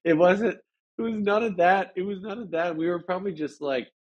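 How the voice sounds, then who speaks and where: An American man from Chicago telling stories casually as an interview guest, halting and rambling with lots of filler words. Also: sits high. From Chicago, United States. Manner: halting, rambling, frequent "like" and "you know". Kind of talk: casual conversational storytelling. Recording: dull lo-fi audio.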